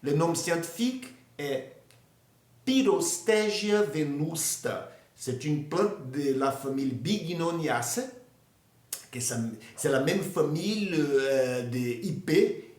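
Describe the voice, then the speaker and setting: A man from Brazil with a Brazilian Portuguese accent, explaining plants in a learned language, halting with many hesitations and restarts. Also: on the high side, animated, darkish tone, reverberant. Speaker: botany presenter. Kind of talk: educational talk in a learned language.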